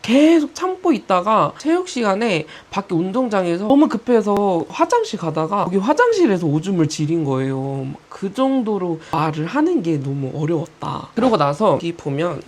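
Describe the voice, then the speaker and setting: A woman's voice: medium pitch, very animated, normal speed, few pauses, a little mellow. A woman in her twenties chattily and candidly telling stories from her own childhood, with quoted asides. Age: 20s. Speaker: YouTuber. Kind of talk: casual storytelling about her own life.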